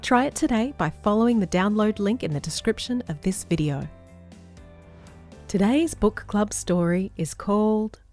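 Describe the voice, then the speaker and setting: A woman reading a picture book aloud to young children as a story-time host, in a measured, expressive storytelling manner. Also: mid-range voice, no room sound, clean studio sound. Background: music behind the voice.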